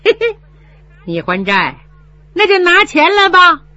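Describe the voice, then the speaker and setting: A woman's voice: extremely high pitch, wildly swooping pitch, measured pace, sharp tone, dry recording, muffled recording, pitched above the performer's own voice, heavily acted. A woman voicing a sneering, bullying male steward come to collect a debt in a spoken story. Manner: mocking, jeering.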